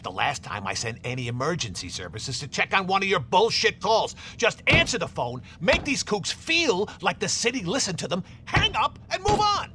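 A woman's voice: mid-range voice, very animated, moderate pace, few pauses, pushed and bright, slight background noise. A woman playing an irate, sarcastic emergency call centre boss who scolds in an audio drama. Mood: irate, scolding.

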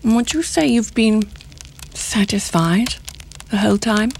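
An actress playing a sly, seductive middle-aged intelligence handler, teasing and insinuating in formal, deliberate diction. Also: female voice, on the high side, lively intonation, creaky, darkish tone, slight background noise. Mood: coolly needling. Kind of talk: audio drama acting.

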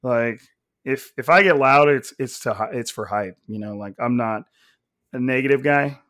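A hoarse man hosts a casual sports podcast, his voice raspy and a little nasal. He talks at a naturally loud, energetic volume. The sound is dry and close, with no room around it. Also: mid-range voice, moderate pace, no room sound. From Central California. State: hoarse from coaching. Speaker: podcast host.

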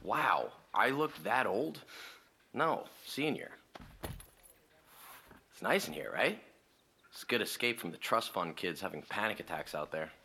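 Audio drama role: rich American senior boy, deep but crisp-edged, casual and teasing. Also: lively intonation, unhurried, long pauses, bright, forceful tone, exaggerated voice. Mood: playful, teasing. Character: laid-back, teasing rich legacy high school senior boy. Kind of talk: conversational audio drama acting.

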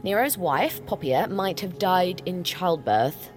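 A woman's voice: on the low side, expressive, slow pace. A woman acting an earnest, eager tourist in an audio drama. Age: adult. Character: earnest, eager woman sightseeing with her partner.

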